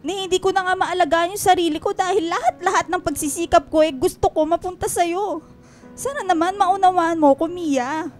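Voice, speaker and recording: A woman's voice: very high-pitched, brisk, soft background music. An actress playing a defensive, self-sacrificing mother with a Filipino accent, insistent and emotional in a radio drama. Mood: defensive pleading.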